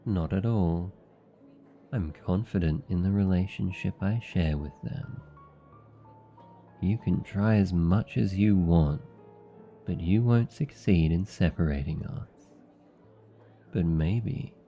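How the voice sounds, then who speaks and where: A man playing a devoted, jealous young demon familiar in love, speaking softly and intimately in an ASMR boyfriend roleplay. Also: deep voice, slow pace, pauses often, highs cut off, faint music behind. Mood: cool, protective.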